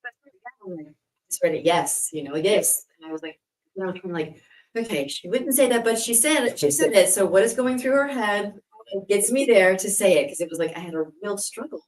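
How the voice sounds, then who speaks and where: A woman's voice: mid-range voice, a little fast, a little mellow, far from the mic, low-quality recording. A woman who is a voice actor, chatting casually and acting out her thoughts as she tells a story. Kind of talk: casual chat.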